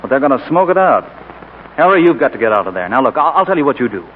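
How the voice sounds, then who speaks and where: Male actor as glib, confident buddy, rapid patter, 1940s radio drama, tinny sound. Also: medium pitch, big pitch swings, natural voice. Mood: breezy. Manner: glib, bossy, familiar. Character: brisk, cocksure, breezy ad-man buddy. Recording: tinny, faintly noisy old radio recording.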